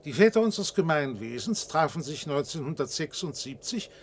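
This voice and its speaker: An actor playing an earnest, old-fashioned reservation dweller in a science-fiction radio drama, speaking formally and deliberately, like a guide explaining things. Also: male voice, a little high, big pitch swings, a little hiss or hum. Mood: earnest, explanatory.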